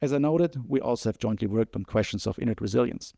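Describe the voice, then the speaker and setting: A male moderator with a mellow, dark-toned voice introduces a panel in a measured, partly scripted delivery. His voice is mid-pitched, and the sound is dry and close, with little room echo. He slips in an occasional um. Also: gravelly. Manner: measured reading with occasional um fillers. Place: conference venue.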